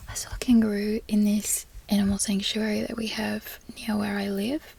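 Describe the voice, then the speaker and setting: A young woman from Florida whispering softly in a chatty, rambling way, as a relaxed ASMR talk. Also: mid-range voice, normal speed, creaky, breathy edge, poor audio. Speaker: ASMR creator.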